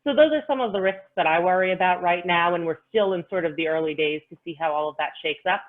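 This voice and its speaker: A woman giving articulate, measured explanations as an expert panelist on a video call. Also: on the low side. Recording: narrow, phone-like call audio.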